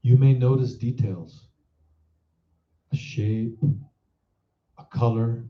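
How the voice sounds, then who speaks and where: A man guides a meditation at a very slow, measured pace, with an average-pitched voice. He teaches by putting reflective questions to the listener. The recording is dull, with a clear roomy echo. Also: fairly even intonation.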